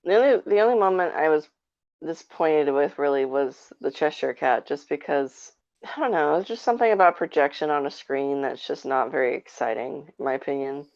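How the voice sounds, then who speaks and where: A woman chatting casually as a podcast guest, with hesitant, halting phrasing. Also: sits low, a little mellow. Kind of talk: casual chat. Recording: dull lo-fi call audio.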